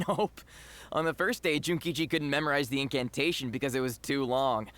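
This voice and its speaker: An actor voicing a cheerful, laid-back young aspiring writer in a fantasy video game. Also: male voice, medium pitch, steady tone, fairly quick, a bit bright, natural voice. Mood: sheepish, amused.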